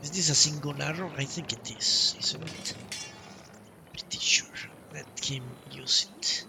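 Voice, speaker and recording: A man's voice: fairly high pitch, steady tone, measured pace, vocal fry, background noise. A man doing casual live gameplay commentary as a streamer, musing and thinking aloud in short phrases. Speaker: game streamer. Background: game music.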